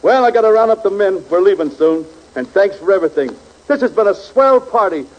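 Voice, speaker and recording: A man's voice: high-pitched, lively intonation, brisk. A man playing an eager, hungry young American army sergeant, boyish and slangy, in a 1940s radio comedy. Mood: enthusiastic. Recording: muffled old radio broadcast.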